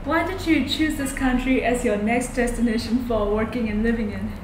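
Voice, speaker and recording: A woman's voice: fairly high pitch, normal speed. A woman interviewer and host speaking formally, reading out prepared introductions and questions. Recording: roomy echo with background noise.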